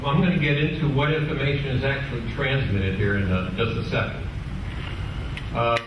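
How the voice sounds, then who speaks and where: Guy doing a club tech talk, kinda halting explainer style, super echoey room, noisy recording. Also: medium pitch, steady tone, far from the mic, lo-fi sound. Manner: halting, explanatory, with rhetorical questions and asides. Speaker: presenter at an amateur radio club meeting. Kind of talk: informal technical presentation. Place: indoor club meeting room. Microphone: distant microphone.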